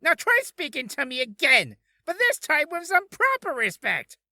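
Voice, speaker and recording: Bossy puppet character, shrill, very sharp, scolding, short fuse, high pitch. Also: male voice, huge pitch swings, moderate pace, gravelly, dry recording, clean studio sound, full character voice. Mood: indignant. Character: bossy, haughty, short-tempered male ventriloquist's dummy. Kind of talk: character voice audition reel.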